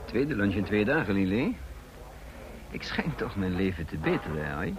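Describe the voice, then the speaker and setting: An actor playing a calculating double agent who poses as a counterintelligence chief, measured and probing in a radio play. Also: male voice, lowish voice, sing-song. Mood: reflective, guarded. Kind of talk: Dutch radio play acting and first-person narration. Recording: noisy old radio play recording.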